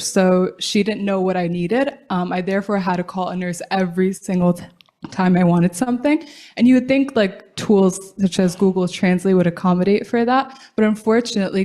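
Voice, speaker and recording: A young woman student gives an earnest short pitch at an event. She speaks at a middling pitch and leans on frequent fillers. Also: a little mellow. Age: young adult.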